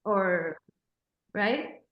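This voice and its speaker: A woman's voice: mid-range voice, expressive, extremely slow, dull audio. Woman in an echoey room, searching for words. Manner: searching, restarts phrases, many "like" fillers. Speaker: documentary filmmaker and moderator. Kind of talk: casual panel discussion. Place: panel event room.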